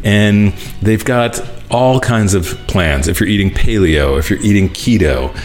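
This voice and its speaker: Low-voiced podcast guy, relaxed, lots of uhs and you knows. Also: measured pace, soft background music. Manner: chatty, relaxed, many fillers. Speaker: podcast host. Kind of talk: casual podcast chat and host-read ad.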